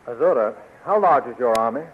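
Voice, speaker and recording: A man's voice: medium pitch, expressive, brisk, narrow, tinny audio, close to an everyday voice. A man playing a gallant, earnest heroic commander in a 1930s radio serial, declaiming formally on a thin, scratchy recording. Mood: earnest, commanding.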